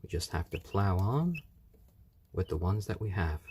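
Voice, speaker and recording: Man, live-stream host, low mellow voice with a lively swing, easygoing chat, faint hum behind. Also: normal speed. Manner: easygoing, chatty, coos over the chicks. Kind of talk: casual live-stream chat answering viewer comments.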